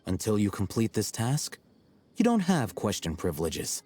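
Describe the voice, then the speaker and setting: An aloof, calculating young scholar in a video game. His low voice is measured, dry and blunt, self-assured throughout, with soft music underneath. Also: huge pitch swings. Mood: cool, demanding.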